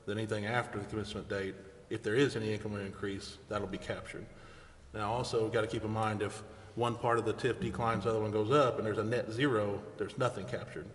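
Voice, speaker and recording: A man chairing a council meeting, speaking in a halting, informal way and reading out formal items. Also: lowish voice, level tone. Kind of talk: formal motion reading and unscripted meeting discussion. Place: indoor council chamber. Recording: echoey hall with background noise.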